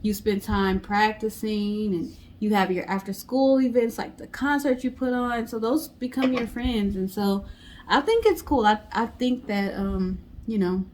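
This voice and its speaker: Woman, medium pitch, casual co-host, conversational, informal phrasing. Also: measured pace, darkish tone, a little hiss or hum. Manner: conversational, asks questions, frequent "like". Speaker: co-host of a storytime show. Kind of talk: casual couple chat.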